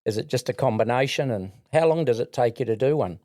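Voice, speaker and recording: A man co-hosting a casual podcast, chatty and throwing in quick questions. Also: mid-range voice, animated, dark tone, no echo, studio quality. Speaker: podcast co-host.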